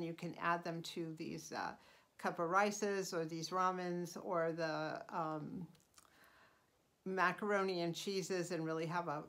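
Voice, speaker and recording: A middle-aged woman hosting her own channel, talking through casual tips in an unhurried, chatty way with frequent ums and uhs. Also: lowish voice. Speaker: channel host. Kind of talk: casual vlog voice-over.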